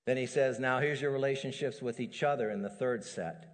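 A middle-aged man preaching a sermon as a pastor, animated and building to emphatic peaks. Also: medium pitch, a little fast. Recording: long hall reverb.

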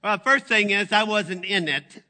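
A man in his sixties voicing his elderly mother, blunt and indignant, in a high, shrill put-on old woman's voice. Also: expressive, a little slow, bright, forceful tone, big-room sound, heavily acted.

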